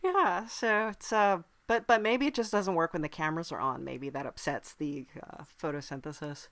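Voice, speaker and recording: Woman playing a low-voiced, polite friendly demon. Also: moderate pace, a bit bright. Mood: droll, polite. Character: approachable, friendly marketer from hell, mild-mannered demon. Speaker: podcast host. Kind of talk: improvised comic interview in character.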